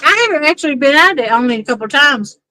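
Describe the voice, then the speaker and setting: A woman chatting casually on a pontoon boat while fishing, easygoing and quick to laugh. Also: sits high, lively intonation, pushed and bright, no room sound, poor audio. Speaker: fishing streamer. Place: outdoors on a pontoon boat on a lake.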